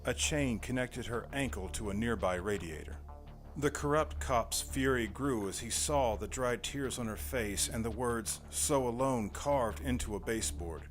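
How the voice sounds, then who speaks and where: A man's voice: medium pitch, normal speed, soft background music. A man giving scripted, dramatic narration for a noir horror audio drama.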